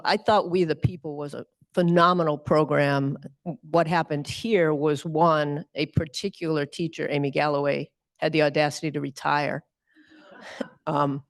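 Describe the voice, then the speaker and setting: A middle-aged woman judge answering audience questions in a relaxed, wry, conversational way. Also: sits low, no room sound. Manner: relaxed, wry, with light laughs.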